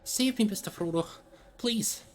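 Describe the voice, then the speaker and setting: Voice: mid-range voice, measured pace, pitched above the performer's own voice, lightly acted. A reader voicing a loyal, anxious young male hobbit servant in a storybook, with rustic, pleading, earnest speech. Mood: panicked, pleading.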